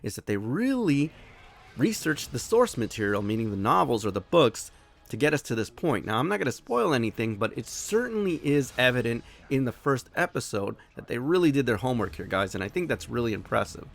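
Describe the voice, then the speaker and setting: A man hosting his own review show, talking casually and excitedly while a little hoarse from losing his voice. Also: medium pitch, fairly quick. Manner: enthusiastic, animated, swears casually.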